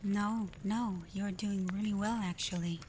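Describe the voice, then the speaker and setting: A woman voicing a caring alpha werewolf in a soft, breathy ASMR roleplay, gentle and reassuring, confident but privately unsure. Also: medium pitch, measured pace, lightly acted. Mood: warm, encouraging. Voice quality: soft, breathy, often near a whisper. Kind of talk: ASMR roleplay, soft intimate talk to the listener. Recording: clear steady ambient noise.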